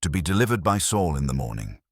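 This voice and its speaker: Imperious older male sleuth read by a man for detective audiobook, bottomless low voice, deliberate and formal, dry sound. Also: big pitch swings, no room sound, full character voice. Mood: calm, calculating. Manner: formal, commanding, deliberate wording.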